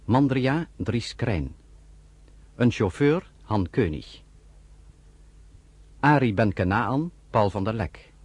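Low, husky announcer reading credits. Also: male voice, slow, pauses often, dry recording. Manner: formal and even. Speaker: radio drama announcer.

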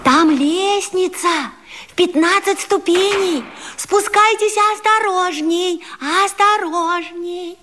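A woman's voice: extremely high pitch, slow pace, pushed and bright, heavily acted. An actress playing an obedient, careful servant girl in a radio vaudeville. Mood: solicitous, guiding.

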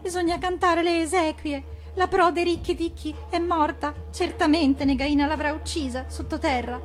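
Woman as a high, wailing little songbird. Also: fairly even intonation, normal speed, close to an everyday voice. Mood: mournful alarm. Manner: lamenting, theatrical. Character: silly, dramatically mournful little male songbird.

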